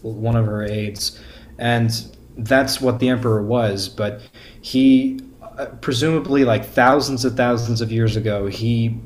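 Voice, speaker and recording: A man's voice: mid-range voice, slight background noise. A man in a casual podcast discussion, stammering and repeating words as he searches for his phrasing. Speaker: podcast guest or panelist discussing a book.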